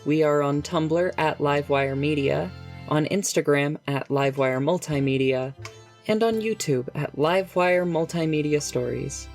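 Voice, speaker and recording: A speaker reading podcast credits in a clear, even, scripted delivery. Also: mid-range voice, normal speed, soft background music. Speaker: credits announcer.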